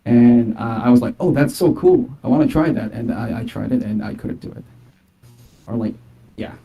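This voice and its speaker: A man's voice: medium pitch, normal speed, creaky, breathy edge, lo-fi sound. A man appearing as a guest musician, answering in a hesitant way with many fillers. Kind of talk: casual interview talk.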